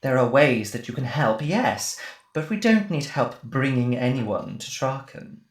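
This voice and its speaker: A woman's voice: very deep voice, big pitch swings, a little slow, heavily acted. A woman playing a smug, menacing cult leader in an audio drama, patronising and theatrical. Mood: gloating, menacing. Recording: echoey, faint music behind.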